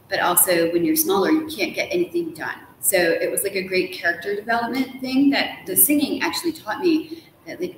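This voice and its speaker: A middle-aged woman chatting casually as an interview guest, gushing and talkative, often saying "like". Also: medium pitch. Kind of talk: animated casual conversation. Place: large hotel room, indoors. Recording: roomy echo with background noise.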